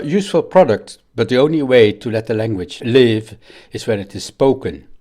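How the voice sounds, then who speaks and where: A man in a low voice. He delivers a prepared statement in the manner of a university professor. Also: darkish tone. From Netherlands. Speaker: professor of African languages.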